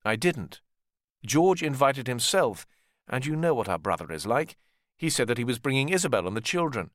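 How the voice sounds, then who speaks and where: A man reading an audiobook, voicing a calm, authoritative elder brother who heads a family empire, measured and assured. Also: mid-range voice, no room sound, clean studio sound. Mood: matter-of-fact. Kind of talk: audiobook character dialogue.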